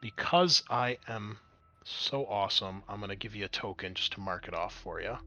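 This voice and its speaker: A man's voice: lowish voice, normal speed, bright, forceful tone. A man working as game master, narrating a tabletop roleplaying game in a casual, off-the-cuff way.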